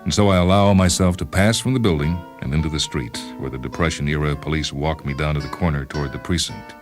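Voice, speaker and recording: A man with a deep voice narrates literary fiction. His delivery is measured and wry, shaped for dramatic storytelling. Soft instrumental music plays behind him. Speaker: narrator reading a short story. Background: instrumental music with guitar.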